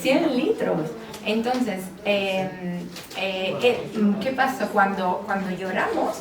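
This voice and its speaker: A woman psychologist with an Italian accent, speaking with many hesitations and fillers at a book presentation. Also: medium pitch. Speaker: psychologist and author. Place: bookstore event space. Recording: roomy, distant mic with background noise.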